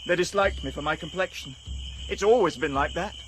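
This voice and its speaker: A man's voice: fairly high pitch, a bit bright, a little hiss or hum, close to an everyday voice, low-quality recording. An actor playing an earnest young man raised in a remote village, speaking solemnly in a radio drama. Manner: solemn, earnest, halting delivery. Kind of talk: BBC radio drama acting. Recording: insect and cricket ambience.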